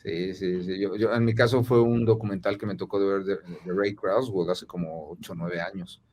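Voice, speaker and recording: A man's voice: low voice, steady tone, darkish tone, slight background noise. Man hosting a podcast. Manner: asks questions with filler pauses. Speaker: podcast host. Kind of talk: casual conversational interview.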